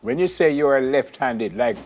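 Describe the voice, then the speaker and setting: Male morning host, mid-pitched, halting questions that repeat words. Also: expressive, darkish tone. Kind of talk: live TV interview.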